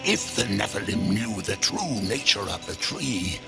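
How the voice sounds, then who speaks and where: A sly undead merchant from a fantasy video game, speaking slowly in a low voice that swoops and lingers. He is theatrical and knowing, with music playing strongly behind him. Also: vocal fry. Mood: knowing, measured. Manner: unhurried, theatrical, knowing, sighing and chuckling. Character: sly undead merchant, last of a burned race. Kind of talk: video game cutscene acting. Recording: loud music under the voice.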